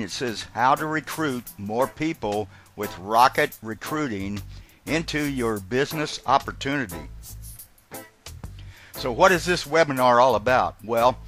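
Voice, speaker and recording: A man's voice: mid-range voice, fairly bright tone. A man hosting a business webinar, giving a friendly, announcer-like scripted introduction. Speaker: webinar host.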